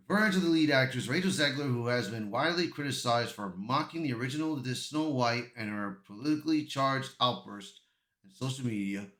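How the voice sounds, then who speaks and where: An echoey room surrounds this man's voice, which sits at an ordinary middle pitch. He works through amateur news commentary as a host, reading aloud and reacting, often tripping over words and starting them again. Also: fairly bright tone. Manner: halting, stumbling delivery with repeated words. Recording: echoey, roomy sound.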